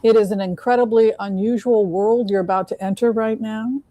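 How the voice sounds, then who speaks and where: A woman hosting a casual livestream as a psychic reader, talking in a halting, repetitive way. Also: medium pitch, fairly even intonation, dark tone, no room sound, low-quality recording. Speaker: host, psychic tarot reader.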